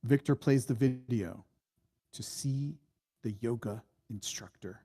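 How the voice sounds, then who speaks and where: A man's voice: medium pitch, slow, dark tone, slightly rough. Guy narrating a movie script, takes his time, suspenseful and dramatic. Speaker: narrator reading stage directions.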